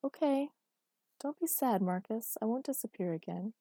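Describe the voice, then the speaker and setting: A woman's voice: fairly high pitch, expressive, moderate pace, dark tone, no echo, studio quality, pitched above the performer's own voice. A woman voicing a headstrong, dreamy and defiant woman with naive candour in a dramatic story reading. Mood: gentle, hesitant.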